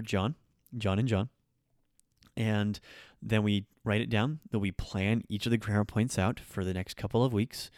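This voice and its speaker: A man's voice: lowish voice, a little mellow, smooth, no echo, clean studio sound. A man hosting a podcast, talking through his views on teaching in an unscripted monologue with many ums and restarts. He is a native English speaker. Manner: frank, opinionated, with frequent ums and restarts. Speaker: podcast host and language teacher.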